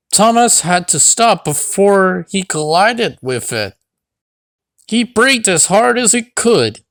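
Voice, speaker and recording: Slow, expressive children's storybook narrator. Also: mid-range voice, dry recording, studio quality. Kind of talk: scripted children's read-along narration.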